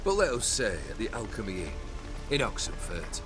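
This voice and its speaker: A man's voice: lowish voice, sing-song, normal speed, over music. An actor playing a cold, haughty nobleman who leads bandits in a video game, mocking and formal in his phrasing. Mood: mocking, imperious.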